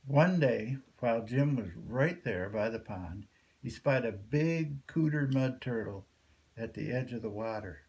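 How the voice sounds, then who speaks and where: Older guy reading a folktale out loud, real slow storyteller, medium pitch. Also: lively intonation, creaky. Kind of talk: folktale read aloud.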